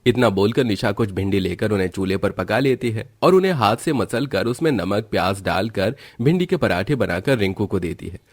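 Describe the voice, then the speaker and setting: A man's voice: fairly low pitch, lively intonation, fairly quick, few pauses, husky, dry recording, clean studio sound. A man reading scripted narration for a cartoon family story.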